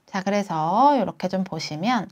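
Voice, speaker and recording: A woman hosting a software tutorial, walking through each step as she explains it. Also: mid-range voice, lively intonation, normal speed. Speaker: tutorial host. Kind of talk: software tutorial, screen walkthrough.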